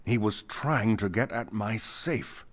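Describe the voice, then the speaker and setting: An actor playing a frightened, defensive company boss in a pulp thriller audio drama, with a nervous, hesitant manner. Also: male voice, fairly low pitch, slow pace. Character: frightened, shaken male chemical company boss under police questioning.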